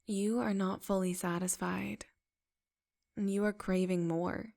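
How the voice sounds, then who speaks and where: A woman leading a guided meditation in a soft, unhurried, affirming way. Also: mid-range voice, fairly even intonation, vocal fry.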